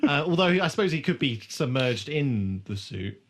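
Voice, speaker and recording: A man's voice: mid-range voice, big pitch swings, normal speed. A man co-hosting a podcast, casually recapping a TV episode in an irreverent, sweary way. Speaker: podcast host.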